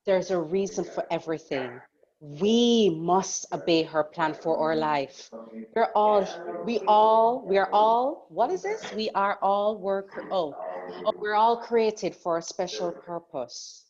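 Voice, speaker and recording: Woman reading as a stern, slow-spoken mother. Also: medium pitch, a little mellow, natural voice. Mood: controlling. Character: stern, controlling, devout mother. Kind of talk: script table read. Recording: dull lo-fi recording.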